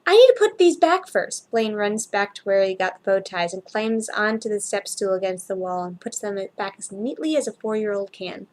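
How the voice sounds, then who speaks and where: A woman's voice: medium pitch, fairly even intonation, normal speed, few pauses. A woman reading fiction aloud as an amateur narrator, expressively, with the occasional stumble over a word. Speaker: amateur story reader.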